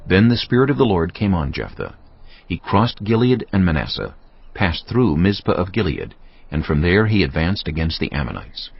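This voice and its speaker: A man with an extremely low, husky voice narrates scripture. His scripted storytelling has wide, dramatic intonation, and the recording is a little dull. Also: very animated, moderate pace.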